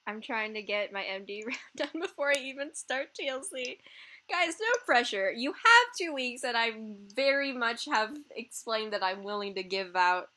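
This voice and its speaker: Loud bubbly young woman streamer. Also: fairly high pitch, animated, normal speed. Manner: laughs often, loud and bubbly. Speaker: livestream co-host. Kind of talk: casual livestream chat.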